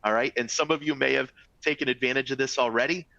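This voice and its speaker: Man, Boston accent, middle-aged, webinar presenter, chatty sales pitch, bright voice, dull dry audio. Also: fairly even intonation, fast talker, vocal fry, no echo. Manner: upbeat, chatty sales pitch with frequent "all right?" and "folks". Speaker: travel company executive presenting a webinar.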